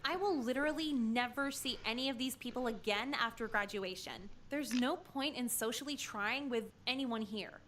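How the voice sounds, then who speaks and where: Cynical teen girl for a visual novel, played by a woman: higher-pitched, forceful, deadpan snark. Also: normal speed, hardly any pauses, slight background noise, lightly acted. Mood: sardonic. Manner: sarcastic, deadpan, snide. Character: cynical foul-mouthed teenage girl high school student. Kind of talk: visual novel game voice acting.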